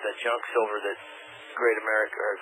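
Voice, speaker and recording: A man's voice: lowish voice, level tone, fast talker. A man calling a radio show, chatting casually in a hesitant, rambling but earnest way. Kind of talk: casual phone-in chat. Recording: noisy phone call on radio.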